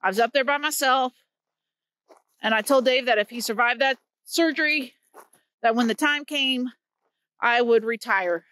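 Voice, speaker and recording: A woman's voice: on the high side, moderate pace, pauses often, a bit bright. A woman in her fifties from Missouri, chatting earnestly to her phone camera as she walks through winter woods. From Missouri, USA. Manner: chatty, earnest storytelling while hiking. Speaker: vlog host. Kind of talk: casual walking vlog. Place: outdoors in winter woods by a creek. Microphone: phone held at arm's length.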